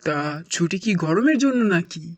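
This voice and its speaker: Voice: medium pitch, expressive, moderate pace, no room sound, muffled recording, natural voice. An actor voicing a strict father in a cartoon, who forbids a trip and tells a grave ghost story. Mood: calm. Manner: stern, then hushed storytelling. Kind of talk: cartoon voice acting.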